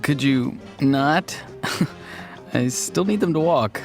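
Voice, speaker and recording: A man's voice: medium pitch, slow pace, gravelly, soft background music. A man playing a sincere, sheepish young grandson and stubborn would-be hero in a dramatic video game. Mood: wry, pleading.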